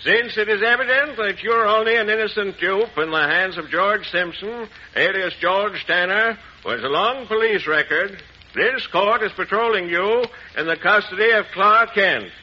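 An actor playing a formal, declamatory courtroom judge in 1940s radio style. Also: male voice, high-pitched, moderate pace, lightly acted. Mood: stern, official. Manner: declamatory, official. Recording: muffled, noisy, clicking old radio recording.

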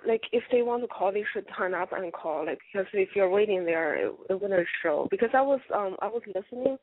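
A hoarse woman from China calling in to a radio show, hesitant and full of fillers and small giggles. Her voice comes down a tinny, dry phone line. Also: medium pitch, lively intonation, brisk, no room sound. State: hoarse from bronchitis. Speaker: caller.

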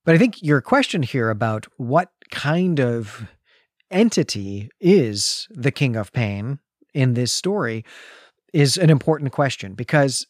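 A man's voice: mid-range voice, big pitch swings, a little slow, no echo. Man podcast host, close dry sound. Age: 40s. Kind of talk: conversational literary discussion.

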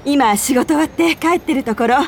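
An actress playing a friendly, tentative young senior coworker in a Japanese radio drama. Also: female voice, high voice, a little fast, vocal fry, a little mellow, a little hiss or hum. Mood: friendly, probing.

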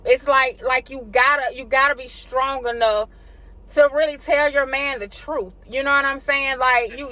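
A woman's voice: high voice, a bit bright, low-quality recording. A woman hosting a podcast, interviewing her guest casually and backing him up with frequent agreement. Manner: affirming, lots of "yeah" and "I agree". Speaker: podcast host.

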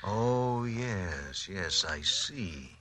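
Asylum doctor, deep voice, very sharp tone, measured, hesitant, courteous, 1940s radio drama. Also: male voice, lively intonation, exaggerated voice. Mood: polite. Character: hesitant, sceptical asylum doctor, polite and professional. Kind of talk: 1940s radio thriller acting. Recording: old 1940s radio broadcast.

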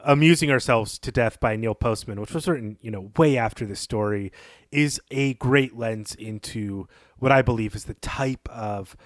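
A man's voice: medium pitch, normal speed, no room sound, clean studio sound. A man hosting a podcast, discussing literature thoughtfully, with some fillers as he works out his points. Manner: thoughtful, wanders with fillers, then leads the topic. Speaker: podcast host.

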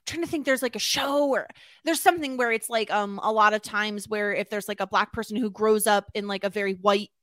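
A woman's voice: medium pitch, fairly quick, a bit bright, dry recording, studio quality. A woman co-hosting a podcast, recapping a TV episode in casual chat and thinking aloud with lots of "like"s and restarts. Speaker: podcast co-host.

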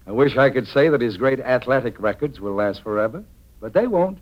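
A man's voice: mid-range voice, lively intonation, a little fast, lightly acted. A man playing a famous songwriter giving a grand, emphatic toast in 1940s radio oratory. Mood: solemn, ceremonious. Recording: dull vintage radio recording.

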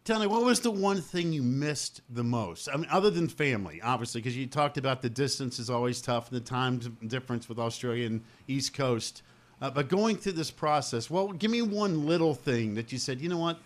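A man hosting a sports talk show, asking long, rambling questions in an effusive, animated way. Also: medium pitch, brisk. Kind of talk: enthusiastic interview questions.

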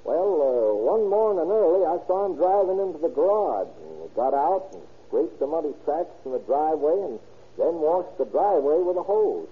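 High, husky, flustered neighbor. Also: male voice, big pitch swings, normal speed, exaggerated voice. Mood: rambling, folksy. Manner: stammering, folksy, hesitant. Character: fussy, flustered, talkative male neighbor, easily alarmed. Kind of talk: old-time radio character acting. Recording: tinny band-limited old radio recording.

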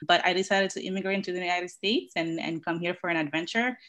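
Lower-voiced woman from the Dominican Republic. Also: fast, fairly bright tone. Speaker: podcast guest. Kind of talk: casual interview.

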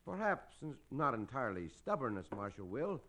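An actor playing a gallant, courteous Mexican cowboy hero with a Mexican accent in an old-time Western radio drama, speaking in measured, formal phrases. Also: male voice, medium pitch, big pitch swings, a bit bright. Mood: calm, reassuring.

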